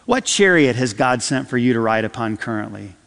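A man preaching a sermon from the pulpit, swinging between loud emphatic lines and soft, dropped phrases. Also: medium pitch, expressive, a little fast, gravelly. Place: church sanctuary. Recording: long hall reverb.

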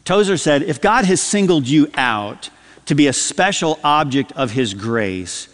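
A man preaching a sermon from the pulpit, swinging between loud emphatic lines and soft, dropped phrases. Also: on the high side, expressive, a little slow. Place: church sanctuary. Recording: long hall reverb.

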